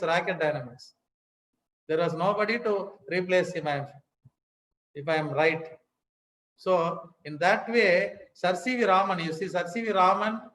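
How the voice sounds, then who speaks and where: An older man with an Indian accent giving a formal lecture, emphatic and pausing often, repeating key words. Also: high-pitched, a bit bright. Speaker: academic speaker. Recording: echoey hall.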